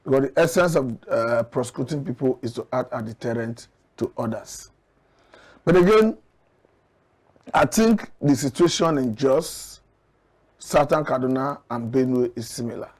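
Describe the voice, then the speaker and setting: A man with a Nigerian accent giving current affairs commentary as a guest analyst, measured and earnest as he argues his points. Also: mid-range voice, animated, a little mellow.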